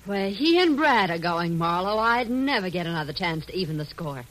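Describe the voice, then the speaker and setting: Spirited detective-story dame, animated intonation, wry and vengeful, vintage radio drama. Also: female voice, on the low side, moderate pace, poor audio. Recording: old radio broadcast recording.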